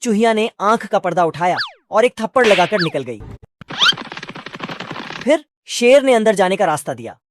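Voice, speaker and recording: A comic narrator recaps cartoon clips in a scripted, storytelling style. The language is slangy and crude, the pitch sits in the middle, and clear noise with clicks runs under the voice. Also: lively intonation, normal speed, long pauses.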